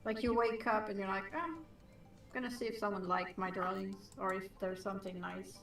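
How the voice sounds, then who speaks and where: Relaxed, teasing woman co-host, English not her first language, distant roomy sound with faint music. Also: mid-range voice, a little fast. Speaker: artist, co-host. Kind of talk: casual chat on a livestream.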